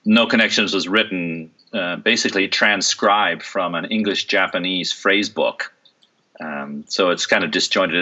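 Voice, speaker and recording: A man's voice: low-pitched, sharp tone, lo-fi sound. A middle-aged man who works as a voice and stage actor, talking through an interview in a thoughtful way with many fillers and restarts. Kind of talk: relaxed interview conversation.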